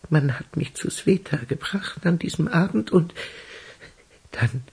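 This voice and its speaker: An actor playing a small, pitiful drug addict under questioning in a radio crime drama, halting and defensive, with a husky, strained voice. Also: male voice, fairly high pitch, expressive, darkish tone, full character voice. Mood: weary, defensive.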